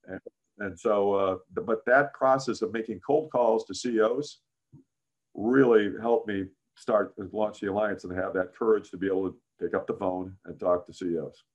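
A man in his sixties with a deep voice, a guest in a casual interview, telling career stories with many "frankly"s and "uh"s. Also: normal speed. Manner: frequent "frankly" and "uh", animated anecdotes. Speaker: interview guest, business founder.

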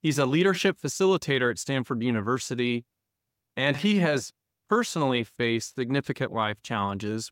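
A male host reading a prepared introduction in a measured way, then asking interview questions. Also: mid-range voice, a bit bright, no room sound, clean studio sound. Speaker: podcast host.

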